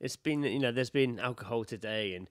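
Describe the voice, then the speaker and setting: A man's voice: mid-range voice, fairly quick, no room sound, studio quality. A British man co-hosting a podcast, chatting casually with matey, sweary banter. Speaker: podcast co-host. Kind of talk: casual chat.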